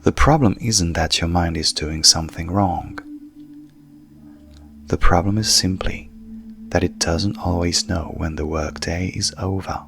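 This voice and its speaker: A man speaking as a hypnotherapist in a calm, hushed, soothing manner, softly guiding a sleep meditation with long pauses. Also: deep voice, creaky. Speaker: coach and hypnotherapist guiding a session.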